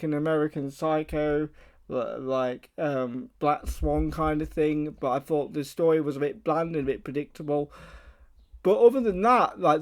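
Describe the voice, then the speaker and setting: A man hosting a radio show, casually reviewing a film and introducing his guest in a chatty, enthusiastic way. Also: on the high side.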